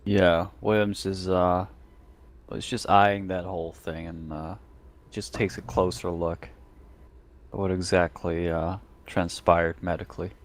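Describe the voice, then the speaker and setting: A man's voice: sits low, little pitch movement, measured pace. A man playing a tabletop game, chatting casually in a dry, wry way. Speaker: tabletop RPG player. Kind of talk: casual chat.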